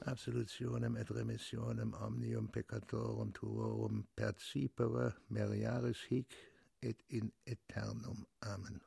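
An actor in a radio drama playing a solemn village priest who murmurs prayers in a husky, gravelly voice. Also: male voice, expressive, unhurried, warm tone, no room sound, heavily acted. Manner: low murmured liturgical chanting in Latin. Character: solemn village priest praying over the dying. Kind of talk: literary radio drama acting.

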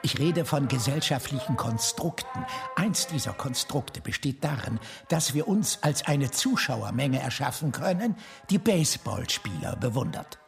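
An actor playing an opinionated, eccentric brother who philosophizes in an insistent, lecturing, articulate way in a German radio drama. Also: male voice, medium pitch, big pitch swings, measured pace, gravelly, faint music behind.

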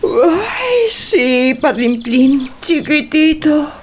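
A woman's voice: high voice, very animated, extremely slow, slight background noise, full character voice. An actress playing a sly, teasing young wife in a theatrical radio drama, coy and cooing in her delivery. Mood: cooing, affectionate.

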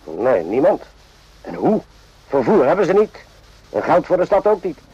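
A man's voice: fairly high pitch, huge pitch swings, moderate pace, mellow, breathy edge, no room sound, full character voice. An actor playing a suspicious, plain-spoken army sergeant in a radio drama, blunt and grumbling yet deferential to his superior. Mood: resigned, blunt. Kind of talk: radio drama acting in Dutch. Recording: dull, muffled old radio recording.

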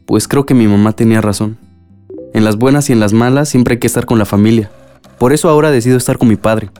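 A man's voice: medium pitch, quick pace, mellow, soft background music, natural voice. An actor with a Mexican accent playing a stubborn, defensive young man in a radio drama. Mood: hurt, defensive.

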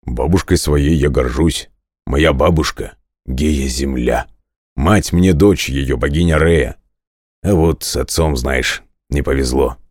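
Mighty ageless god for a storybook played by a man: extremely low, weighty, authoritative, dry sound. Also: a little mellow, dry recording, full character voice. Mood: confiding, proud. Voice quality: very deep, resonant, clearly enunciated. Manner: weighty, commanding, deliberate. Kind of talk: audio fairy-tale character acting.

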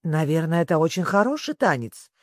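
A storybook reader plays a well-mannered little girl. She sounds timid yet curious, with expressive, moving pitch and a dry studio-like closeness. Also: dry recording. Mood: polite. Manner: timid, courteous, quick to correct herself.

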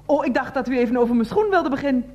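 A woman's voice: sits high, animated, rapid-fire, a little mellow, lo-fi sound. A woman playing a fussy, rambling committee chairwoman in a radio comedy, officious, chatty and literal-minded. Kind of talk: Dutch radio comedy drama acting.

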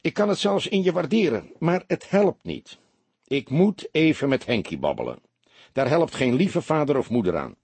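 A wry, heavyset police detective played by a male storyteller, husky and animated in pitch. He stays unflappable and teases with mock sincerity, in a dry, close recording. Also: moderate pace, dry recording, heavily acted.

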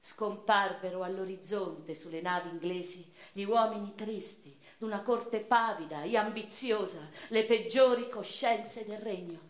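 An actress playing a cultured, impassioned woman revolutionary and newspaper writer in a theatrical monologue, declamatory and literary, with deliberate pauses and emphatic swells. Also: mid-range voice, natural voice. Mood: solemn, bitter. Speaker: stage and radio actress. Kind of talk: dramatic first-person theatrical monologue. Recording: roomy, reverberant theatrical recording.

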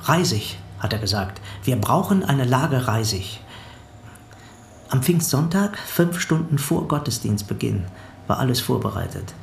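Gravelly, dutiful parish helper. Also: male voice, medium pitch, expressive, a little slow, a little hiss or hum. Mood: earnest recounting. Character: dutiful male parish helper recounting to an investigator. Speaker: witness. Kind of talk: German radio drama acting. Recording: roomy sound with faint background ambience.

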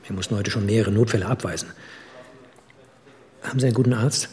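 An actor playing a sober hospital doctor in a radio play, measured, frank and considerate. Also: male voice, on the low side, darkish tone, natural voice. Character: sober hospital doctor advising a patient's husband.

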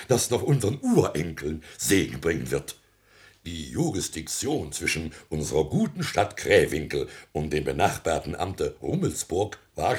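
Pompous, deep-voiced old mayor. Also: male voice, fairly bright tone, full character voice. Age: older. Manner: pompous, declamatory, blustering, stammering officialese. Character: pompous, self-important elderly small-town mayor and father. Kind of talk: theatrical radio comedy acting.

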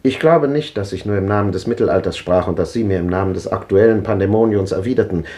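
A man's voice: lowish voice, quick pace, mellow, close to an everyday voice. An actor playing an ironic, resigned old man who lectures drily, in a 1950s radio drama. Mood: wry.

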